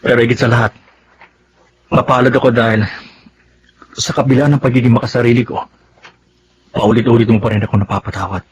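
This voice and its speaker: A man's voice: mid-range voice, steady tone, fairly quick, long pauses, a little hiss or hum, close to an everyday voice, low-quality recording. Radio drama husband, male actor, self-centred and guilt-laden, mid-range voice. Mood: remorseful, tender. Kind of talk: Tagalog radio drama acting.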